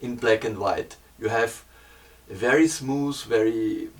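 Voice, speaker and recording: A man with a German accent, a German native speaker, casually explaining his photography in hesitant English, pausing often to find words. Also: mid-range voice, distant mic.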